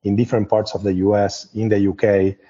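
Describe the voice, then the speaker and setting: A man's voice: fairly low pitch, level tone, a little fast, warm tone. A man from Argentina with an Argentine Spanish accent, speaking conversationally as a company co-founder being interviewed, in a discursive way with frequent verbal tags. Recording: dull, muffled lo-fi audio.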